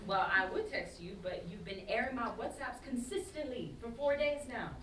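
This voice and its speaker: Echoey distant stage recording, actress doing a cheeky dramatic young girl, high voice, teasing slang. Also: moderate pace, a bit bright, a little hiss or hum, close to an everyday voice. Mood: indignant. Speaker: student actor in a staged reading. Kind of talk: theatrical staged play reading.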